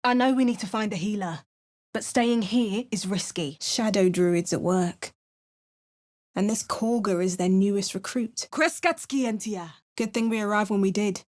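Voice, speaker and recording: A woman's voice: medium pitch, a little slow, lightly acted. A woman voicing a determined adventuring heroine in a fantasy game, giving short wry remarks and narrating in the first person. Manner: clipped asides, first-person narration and shouted spell incantations. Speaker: player character in a fantasy video game. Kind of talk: recorded game voice lines.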